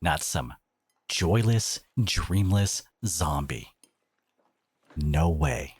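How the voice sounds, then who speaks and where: A man playing a devoted, protective boyfriend in a dramatic roleplay audio, speaking emphatically with sarcastic edges and dramatic pauses. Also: a bass voice, animated, darkish tone, slightly rough, dry recording, full character voice. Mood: indignant, sarcastic.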